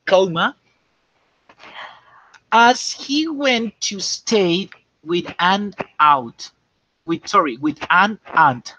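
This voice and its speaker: A man teaching English, dictating slowly and checking often that students understand. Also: sits high, animated, sharp tone. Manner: slow and repetitive, checking understanding. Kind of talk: classroom dictation lesson. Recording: dull lo-fi classroom recording.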